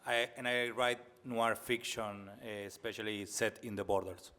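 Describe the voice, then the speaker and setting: A man's voice: on the low side, level tone, moderate pace, bright, forceful tone. A man from Argentina with an Argentine Spanish accent, speaking English as a second language, introduces himself on a panel with apologetic jokes. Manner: apologetic, joking. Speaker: panelist, novelist.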